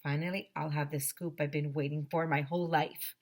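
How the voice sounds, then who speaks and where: A woman voicing an excitable newspaper reporter who is hungry for a scoop, in a children's storybook reading. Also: low-pitched, a little fast. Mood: gleeful, excited.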